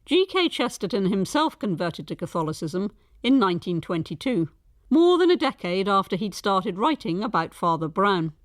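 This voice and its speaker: A woman presenting a scripted documentary in a measured, articulate way. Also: mid-range voice, big pitch swings, dry recording, clean studio sound.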